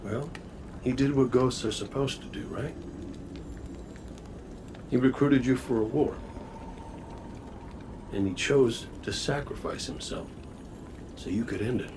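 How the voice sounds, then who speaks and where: An actor playing a world-weary, sympathetic veteran gunslinger in a video game, folksy and reflective. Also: male voice, medium pitch, unhurried, long pauses, close to an everyday voice. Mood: consoling. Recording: noisy game ambience behind voice.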